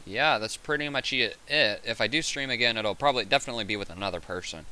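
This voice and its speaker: A man with a raspy, hoarse voice chatting casually on a game livestream, rambling and thinking aloud. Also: medium pitch, fast talker, sharp tone, low-quality recording. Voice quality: raspy, sore voice. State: hoarse from hours of talking. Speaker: game streamer, host. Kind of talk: casual livestream chat while gaming.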